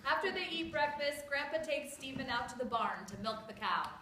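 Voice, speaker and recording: A woman's voice: sits high, animated, a little fast, bright, forceful tone. A woman narrating stage directions at a read-aloud of a script. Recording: echoey room, faint background noise.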